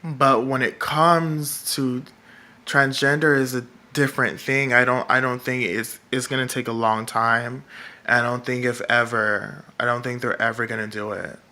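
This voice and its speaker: A man with a feminine-sounding voice, talking in a rambling vlog monologue that is halting and full of fillers and restarts. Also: medium pitch, flat delivery, pushed and bright. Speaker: political vlogger. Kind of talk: casual monologue to camera.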